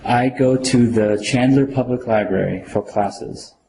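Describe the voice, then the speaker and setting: A man playing a citizenship applicant in a scripted mock interview, answering slowly and carefully. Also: medium pitch, creaky, background noise. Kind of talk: scripted role-play. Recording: echoey room.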